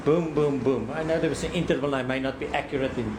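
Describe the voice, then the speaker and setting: A man cross-examining a witness in court, deliberate and probing, often repeating words as he frames a question. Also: medium pitch, noisy background.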